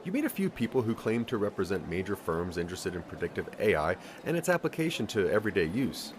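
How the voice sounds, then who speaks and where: A man's voice: low voice, big pitch swings, fast talker, noisy background. A man narrating a scripted interactive audio story in the second person, with a theatrical storytelling delivery.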